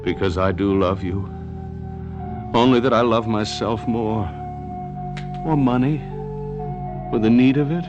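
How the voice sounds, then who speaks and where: An actor playing a greedy, unhinged, scheming husband who has turned murderer, muttering melodramatically in a radio drama monologue. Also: male voice, lowish voice, measured pace, pauses often, dull audio, natural voice. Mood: frantic scheming. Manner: melodramatic, broken muttering, quotes verse. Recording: radio drama with music behind.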